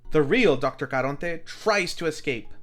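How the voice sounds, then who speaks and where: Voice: medium pitch, moderate pace, faint music behind. A host narrating a scripted comedic film recap in a wry, storytelling way. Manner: wry, animated storytelling with acted quotes. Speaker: host of a film review series.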